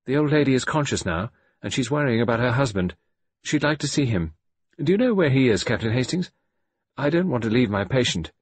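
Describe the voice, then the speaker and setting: A man voicing a polite, efficient female nurse in an audiobook, speaking in a brisk manner. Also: mid-range voice, sing-song, husky, dry recording, pitched above the performer's own voice.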